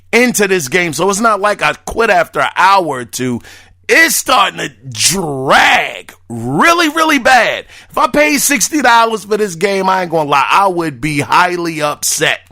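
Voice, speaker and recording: A man hosting a casual gaming news podcast, talking loosely to his listeners with a hoarse, raspy voice. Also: high voice, pushed and bright. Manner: loose, chatty, addresses listeners as brothers and y'all. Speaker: podcast host.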